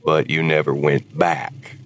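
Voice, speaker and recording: A man's voice: rumbling low, steady tone, measured pace, a little hiss or hum. A man playing a weary older husband and war veteran, regretful but devoted, in an audio drama. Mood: wistful, regretful.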